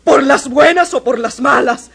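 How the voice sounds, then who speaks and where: A woman's voice: sits high, unhurried, vocal fry, a bit bright, full character voice. An actress playing a desperate birth mother, melodramatic and tearful, in a radio drama. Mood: fierce.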